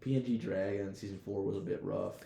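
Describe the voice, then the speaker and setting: A man chats casually as a podcast co-host, loose and slangy with some swearing. His voice is fairly low and mellow, with a lot of fry. The room around him is audibly echoey. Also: steady tone, fast talker, slight background noise. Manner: slangy, profane, informal. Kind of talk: casual chat, TV show discussion.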